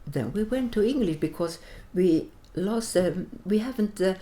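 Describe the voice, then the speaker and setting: An older woman from Sweden, a native Latvian speaker, answering interview questions reflectively in halting English as she searches for words. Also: mid-range voice, animated, dark tone. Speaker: interview guest.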